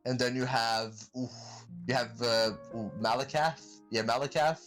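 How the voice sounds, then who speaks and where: A man's voice: mid-range voice, a little slow, a bit bright, soft background music, rough recording. A man guesting on a podcast in casual chat, rambling and hesitant with many fillers.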